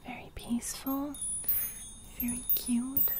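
A young woman whispering a gentle ASMR roleplay very close to the microphone, breathy, softly repeating phrases. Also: sits high, little pitch movement, slow, slight background noise. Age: young adult. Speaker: ASMR host roleplaying a sleep fairy. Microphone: close studio microphone.

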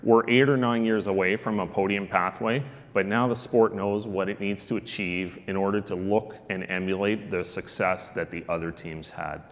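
A middle-aged man presents at a conference lectern in a very low voice, speaking conversationally and pausing on ums and uhs. His voice rings out with a long reverb through a large hall. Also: steady tone. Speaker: keynote speaker on sports analytics. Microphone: lectern microphone.

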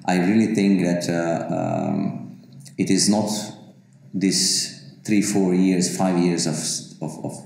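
A man's voice: low-pitched, animated, slow pace, vocal fry, a little mellow. A Bosnian-born man in his forties, a university professor with Bosnian as his first language, answering interview questions to camera with many fillers and restarts. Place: office with bookshelves, indoors. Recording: very echoey office room.

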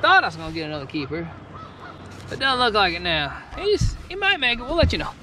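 A man's voice: sits high, big pitch swings, quick pace. A young man talking casually while fishing from a boat on a lake, filmed by an action camera mounted on the boat, with wind and water noise around him. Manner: chatty, thinking aloud while fishing. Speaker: angler filming himself. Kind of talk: casual fishing vlog commentary. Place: outdoors on a bass boat on a lake.

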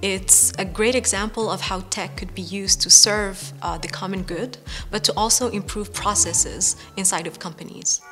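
A young woman from Morocco, a data scientist, speaking earnestly in a seated interview with measured pauses and some "uh"s. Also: mid-range voice, fairly even intonation, over music. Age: young adult. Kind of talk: corporate interview. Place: bright furnished indoor room.

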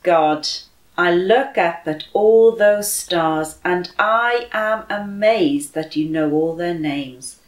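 A woman in her thirties reading aloud and praying for children with deliberate, expressive phrasing. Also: lowish voice. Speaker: children's church story reader. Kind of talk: slow expressive read-aloud and prayer for children. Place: bright room at home by a window, indoors. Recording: roomy echo.

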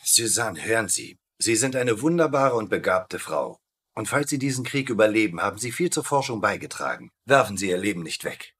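An actor playing a sardonic, authoritative, cool-headed colonel in a dubbed TV show. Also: male voice, lowish voice, sing-song, fairly quick, fairly bright tone, slightly rough, heavily acted. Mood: earnest. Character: wry, commanding American colonel, cool-headed resistance leader. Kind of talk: dubbed TV acting.

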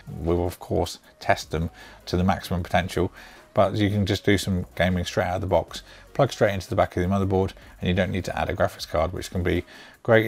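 A young man reviews hardware in a quick, chatty flow, rattling through numbers and specs. His voice sits fairly low and has a gravelly fry. He speaks fast, as if talking to viewers from his home studio. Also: darkish tone. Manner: brisk, chatty, spec-heavy explaining. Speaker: tech reviewer. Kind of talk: product review to camera. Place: indoor home studio with shelves.

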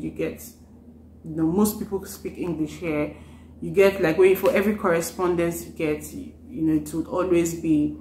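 A woman's voice: fairly low pitch, dark tone. A young woman with a Nigerian accent, chatting casually to the camera from her living room and saying "like" often. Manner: chatty, says "like" constantly, gestures a lot. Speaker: vlogger. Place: living room with a sofa, indoors. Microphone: camera in front of her. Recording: roomy sound with faint background hum.

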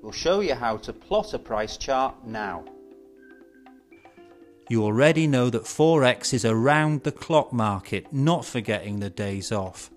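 A middle-aged man presenting scripted instructional narration in a measured, explanatory way, posing rhetorical questions. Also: mid-range voice, expressive. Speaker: presenter of an educational film. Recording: music bed behind studio voice. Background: instrumental music.

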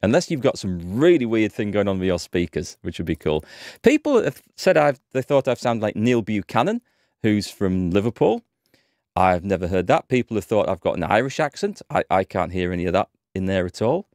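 A man's voice: on the low side, sing-song, fairly quick, darkish tone, no room sound, clean studio sound. A man in his thirties with a North Yorkshire accent, chatting casually and thinking aloud while playing a game on a headset mic. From England. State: slightly under the weather with a cold. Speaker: gaming streamer. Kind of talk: casual let's-play commentary. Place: home streaming room, indoors.

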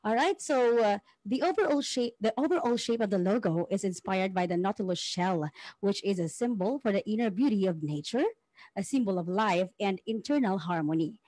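A woman's voice: mid-range voice, animated, fairly quick, a little mellow, no room sound. A woman co-hosting and reading out a prepared explanation. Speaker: co-host.

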